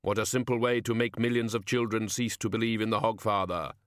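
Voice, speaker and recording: A man voicing a solemn skeletal reaper in an audiobook, speaking slowly and weightily in a matter-of-fact way. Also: on the low side, flat delivery, fairly bright tone, no room sound, studio quality, lightly acted. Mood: grave, satisfied. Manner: slow, weighty, measured delivery.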